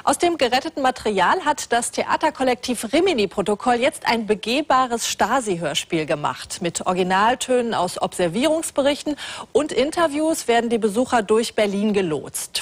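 A woman's voice: on the high side, animated, normal speed. A woman in her thirties presenting scripted links for a television magazine in a studio.

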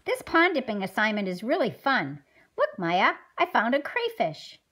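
A woman's voice: fairly high pitch, wildly swooping pitch, moderate pace, a bit bright, a little hiss or hum. A woman voicing an eager, friendly fifth-grade schoolgirl in a scripted children's puppet show, explaining things enthusiastically. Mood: cheerful.

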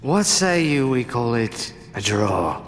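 A man's voice: mid-range voice, sing-song, slow, a little hiss or hum. A man voicing a sly, flippant pirate in a video game, with a slurred, swaggering delivery. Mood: wry, cocky. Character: sly, flippant, roguish adult male pirate. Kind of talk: video game cutscene acting. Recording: echoey cave reverb, music behind.

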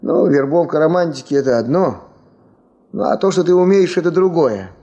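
An actor playing an experienced, good-natured male field hand in a 1970s radio drama, folksy and unhurried. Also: fairly high pitch, animated, warm tone, dull audio. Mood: good-natured, practical.

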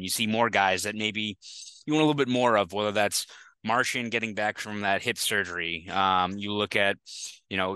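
A young sports reporter with a Boston accent talks through a postgame recap into a headset mic, thinking aloud with plenty of fillers. His voice is bright and smooth, sitting a little low. The sound is dry and close, with no room echo. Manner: conversational analysis with frequent fillers. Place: small indoor room.

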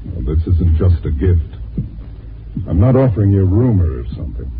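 An actor playing a shady, businesslike private detective in 1950s radio drama, curt and matter-of-fact. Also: male voice, low-pitched, sing-song, normal speed, music in the background. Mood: curt, sly. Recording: muffled, hissy 1950s radio recording.

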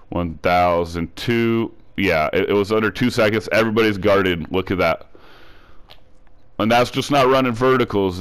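A man with a lowish, smooth voice, congested from a cold, narrates a video game tutorial. He repeats each step and restarts his words often, in a casual, instructive way. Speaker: gaming tutorial creator.

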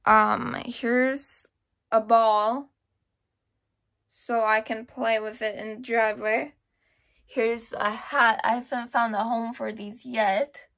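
Slow, halting young woman vlogger. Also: fairly high pitch, steady tone, a bit bright. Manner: hesitant, halting, thinking aloud. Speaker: vlogger giving a house tour. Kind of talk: casual rambling home-tour chat to camera. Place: rooms of a home, indoors. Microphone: handheld camera or phone at arm's length.

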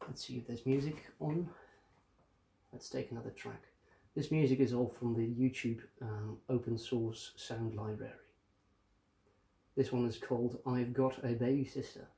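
A man's voice: medium pitch, steady tone, normal speed, vocal fry, dark tone, rough recording. A man presenting a casual hands-on tutorial, explaining things step by step.

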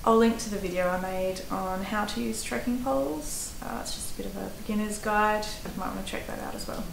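A woman's voice: medium pitch, fairly even intonation, brisk, gravelly. Australian woman vlogger, roomy indoor sound. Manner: chatty, practical, with fillers. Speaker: hiking vlogger. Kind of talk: casual gear advice to camera. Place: small room indoors. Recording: roomy echo, faint background noise.

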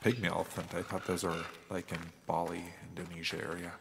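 Man, slightly low voice, anxious jungle traveler, hesitant, radio play, reverberant noisy recording. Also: little pitch movement, fast. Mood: subdued, doubtful. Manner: hesitant, nervous. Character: anxious, complaining young man lost in the jungle. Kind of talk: improvised radio play acting. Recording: long reverb, clear background noise.

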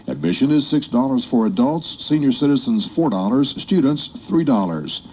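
A man's voice: mid-range voice, big pitch swings, normal speed, a little mellow, a little hiss or hum. A man reading scripted announcements as an oldies radio station announcer. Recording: FM radio aircheck, music underneath. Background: music.

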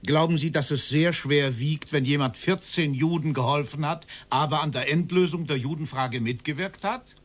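An actor playing a stern interrogator in a documentary radio drama, formal and probing in manner. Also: male voice, a little high, normal speed, few pauses, natural voice. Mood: insistent, accusing. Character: stern male interrogator questioning a Nazi-era official.

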